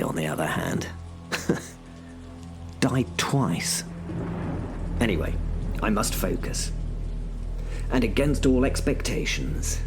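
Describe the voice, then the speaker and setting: A man's voice: lowish voice, gravelly. A man narrating a first-person horror audio drama in a wry, self-mocking way. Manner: wry, self-mocking, dry British humour. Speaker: narrator and lead character.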